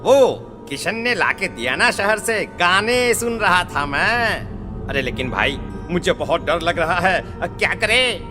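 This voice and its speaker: A man playing a jumpy, timid village farmer in a horror audio story, excitable and exclaiming. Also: high voice, unhurried. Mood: startled, scared. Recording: long reverb, faint music.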